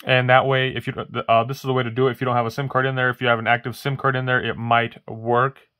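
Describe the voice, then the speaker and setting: A man's voice: mid-range voice, little pitch movement, fast talker, bright, forceful tone, no echo, studio quality. A man hosting a casual phone tutorial, rambling through steps with many fillers and restarts while showing a phone on a table. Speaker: tech tutorial host. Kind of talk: casual how-to walkthrough. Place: indoors at a wooden table, voice-over of hands-only phone demo.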